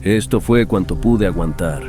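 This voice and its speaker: A man's voice: sits low, moderate pace. A man reading a horror tale as a dramatic audiobook narrator. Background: background music.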